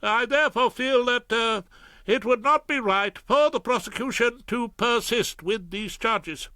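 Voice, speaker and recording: A man voicing a flustered, apologetic male prosecutor who hesitates and clears his throat. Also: extremely high pitch, unhurried, bright, forceful tone, no echo, clean studio sound, voice pushed up, heavily acted.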